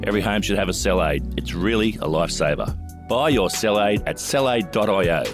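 A man's voice: on the low side, animated, a little fast. A man reading a serious scripted advertisement as a voice-over. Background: music.

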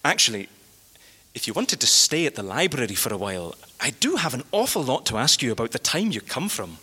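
An eager library curator, a bookish man with a bright, keen-edged voice. He is fussy and hesitant but full of enthusiasm, his intonation leaping about. The live comedy stage has a long hall reverb. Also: mid-range voice, big pitch swings, a little fast, slightly rough, heavily acted. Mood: excited. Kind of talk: live audio comedy acting.

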